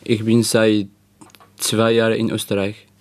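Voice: medium pitch, level tone, slow, darkish tone. A young speaker from Syria telling personal anecdotes casually as a youth radio participant.

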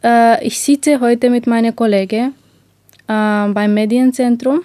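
A woman's voice: a little high, steady tone, a little slow, warm tone, no echo, clean studio sound. A twenty-year-old woman from Romania moderating a group talk on the radio. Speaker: radio show host.

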